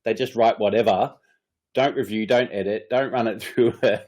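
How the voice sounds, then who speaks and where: A man's voice: fairly low pitch, steady tone, moderate pace, a little mellow. A middle-aged man co-hosting a casual podcast, ranting with frequent swearing and laughter as he vents about frustrations. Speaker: podcast co-host. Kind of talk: casual chat.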